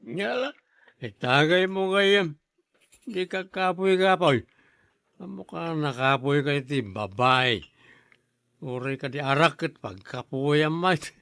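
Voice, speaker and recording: A man's voice: a little high, expressive, takes it slowly, long pauses, fairly bright tone, smooth, no echo, full character voice. A man playing a henpecked, hard-drinking husband in an audio drama, grumbling and protesting in a defensive manner. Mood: cajoling. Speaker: voice actor in a drama. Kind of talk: Ilocano audio drama acting.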